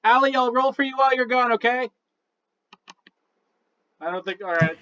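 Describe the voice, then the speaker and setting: A playful game master talks casually to a tabletop group, swinging freely up and down in pitch. The teasing is crude and chummy, and the sound is slightly dull. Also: animated, moderate pace, pushed and bright, no room sound.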